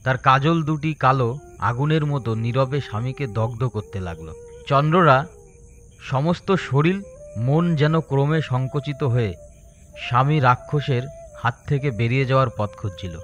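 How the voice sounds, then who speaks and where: A man's voice: mid-range voice, expressive, unhurried, a bit bright, highs cut off. A man narrating a scripted story as a storyteller.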